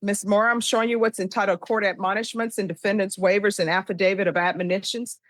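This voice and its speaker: An American woman presides as a judge with a medium-pitched voice. She runs through formal courtroom questions briskly and by rote, sounding firmly in charge. The sound is dry. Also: fairly even intonation, a bit bright, no echo. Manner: brisk, authoritative, recites by rote. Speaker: judge presiding over a plea hearing.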